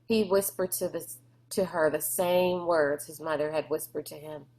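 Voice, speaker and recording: A woman's voice: low-pitched, steady tone, moderate pace, a little mellow. A woman reading a storybook aloud as narrator. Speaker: read-aloud reader.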